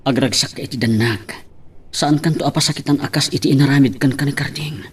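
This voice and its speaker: A man acting as a devoted Ilocano lover in an audio drama, earnestly wooing and promising. His pitch is average and there is some noise in the recording. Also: brisk, close to an everyday voice. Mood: ardent, persuasive. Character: devoted suitor pleading for a married woman's love. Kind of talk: Ilocano radio-style love drama acting.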